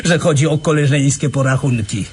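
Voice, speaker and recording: A man's voice: fairly high pitch, fairly quick, a little hiss or hum, lightly acted. An actor playing a smug, theatrical police inspector in a radio play, gloating and mock-courteous as he explains. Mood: smug explaining.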